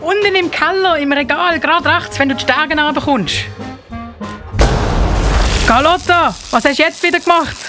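A harried Swiss German mother acted by a woman, with her pitch lifted for the part. She speaks in a homely Christmas radio play with music behind her. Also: moderate pace, pauses often, natural voice. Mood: exasperated. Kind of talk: amateur radio play dialogue.

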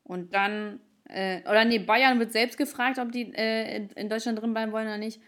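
A woman's voice: fairly high pitch, fairly even intonation, normal speed, fairly bright tone. Young woman from ukraine, joking around on a podcast, teasing tone. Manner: teasing, playful. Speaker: podcast co-host. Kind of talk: casual chat.

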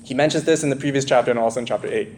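A man's voice: mid-range voice, racing, darkish tone, a little hiss or hum. A man preaching an expository sermon in an emphatic teaching manner, often checking in with questions to his listeners. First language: English. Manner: asks the congregation questions, frequent check-in tags like "right?" and "okay?".

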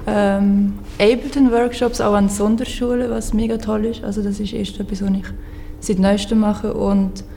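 A young woman in her twenties speaks in an even, flat tone with a mellow sound. She sounds hesitant, with pauses and ums, over faint background noise. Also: mid-range voice. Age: 20s. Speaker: guest, psychology student. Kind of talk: casual panel introduction.

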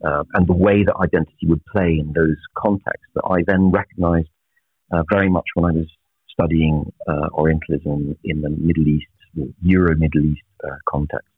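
Deep husky man on a tinny line. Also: fairly even intonation, no room sound. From Naples, Italy. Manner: measured, reflective, many filler pauses. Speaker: professor, guest. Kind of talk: interview answers.

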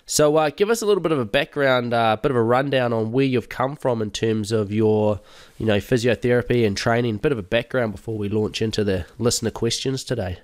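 A man's voice: medium pitch, brisk, dry recording, clean studio sound. A man hosting a podcast while blocked up with a cold, chatting in an upbeat, casual way with bits of slang. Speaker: podcast host.

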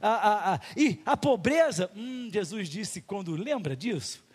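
Animated Brazilian pastor, echoing hall. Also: male voice, sits high, a little slow, breathy edge. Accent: Brazilian Portuguese. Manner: animated preaching, rhetorical questions, acted-out dialogues. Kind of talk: sermon. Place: church.

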